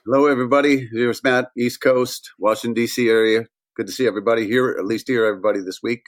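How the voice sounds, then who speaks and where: A man co-hosting a wedding podcast, laid-back with quick asides. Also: mid-range voice, fairly even intonation, no room sound. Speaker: podcast co-host. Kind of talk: casual podcast chat.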